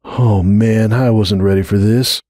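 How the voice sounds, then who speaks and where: A man voicing an overwhelmed young male pony in a dramatic story reading, a stunned new father with a breathy, unsteady, halting voice. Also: on the low side, fairly even intonation, warm tone, dry recording, clean studio sound. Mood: shaken, awed. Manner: halting, stammering.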